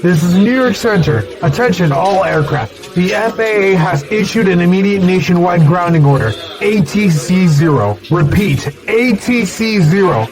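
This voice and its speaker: A man's voice: high voice, animated, normal speed, hardly any pauses, poor audio. A man playing a firm air traffic controller in an audio drama, making emphatic procedural announcements. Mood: urgent, commanding. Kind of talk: audio drama radio acting. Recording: busy crowded room behind.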